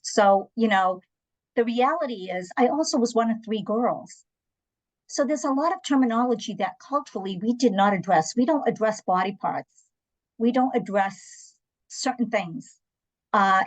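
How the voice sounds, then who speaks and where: A woman's voice: on the high side, rough recording. Candid woman, Portuguese first language. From Angola and South Africa. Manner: candid, anecdotal, emphatic asides. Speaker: interpreter services guest. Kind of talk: conversational panel talk.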